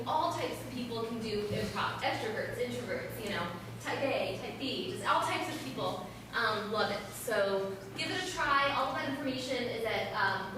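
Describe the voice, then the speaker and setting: A woman host reads out announcements at an improv night, sounding cheerful and casual with frequent ums. Her voice is fairly high. The recording comes from across a booming, echoey theater with a steady room hum behind her. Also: far from the mic. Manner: chatty, upbeat, frequent ums. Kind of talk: stage announcements. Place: small theater.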